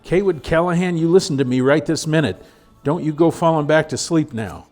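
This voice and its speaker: A man's voice: a little high, fairly quick, a little mellow, faint music behind, pitched above the performer's own voice, lightly acted. A man voicing a worried, insistent frontier wife and mother with urgent, folksy speech in a storybook reading.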